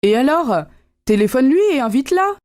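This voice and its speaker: A woman with a fairly high voice reads her dialogue lines clearly and pleasantly. The take is dry and close to the mic. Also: sing-song, fairly quick, darkish tone, dry recording. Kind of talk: scripted learner dialogue.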